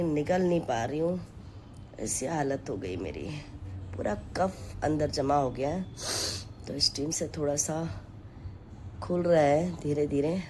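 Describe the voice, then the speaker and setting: A hoarse woman with a sore throat, chatting to her followers from home in a weary, sighing way. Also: sits low, mellow, a little hiss or hum. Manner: slow, weary, sighing between lines. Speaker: vlogger and home tailor. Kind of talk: casual chat to camera. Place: at home, taking steam.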